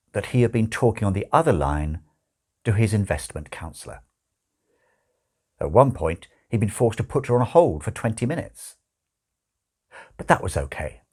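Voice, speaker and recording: A man narrating a comic novel as an audiobook, with wry, expressive storytelling. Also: on the low side. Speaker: audiobook reader.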